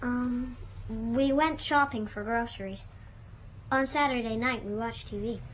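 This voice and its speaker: A man's voice: extremely high pitch, a little slow, a bit bright. A young male actor playing an anxious ten-year-old boy, hesitant and plain-spoken in a radio drama. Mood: anxious, subdued. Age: child. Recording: noisy ambient background.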